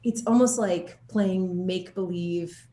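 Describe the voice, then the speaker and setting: A woman's voice: lowish voice, a little slow, dark tone, slight background noise. A woman chatting casually as an interviewed actor, bubbly and giggly, with playful slang, recorded in a room at home. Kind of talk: casual chat in a video interview. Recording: echoey room.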